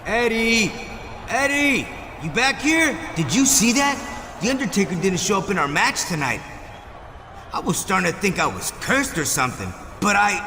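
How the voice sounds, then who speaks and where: A suspicious, earnest wrestler in a video game scene. He presses his points with lively swings in pitch, ringing through an echoey, noisy hall. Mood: urgent. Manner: emphatic, probing. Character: earnest, suspicious male wrestler confronting a shady friend. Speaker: professional wrestler. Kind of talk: acted video game cutscene dialogue.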